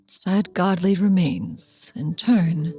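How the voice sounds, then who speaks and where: An actress playing a proud, aloof immortal crane adeptus in a video game, speaking in archaic formal phrases and calling herself "one". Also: female voice, fairly low pitch, slow pace, a little mellow, slightly rough. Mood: matter-of-fact. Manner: archaic formal speech, refers to self as "one". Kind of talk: scripted video game dialogue. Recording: studio voice over game music.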